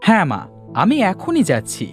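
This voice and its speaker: Cartoon village youth, male performer: polite, eager to help, very lively pitch, music behind. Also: moderate pace. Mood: obliging. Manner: obliging, expressive. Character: polite, helpful, hardworking village young man.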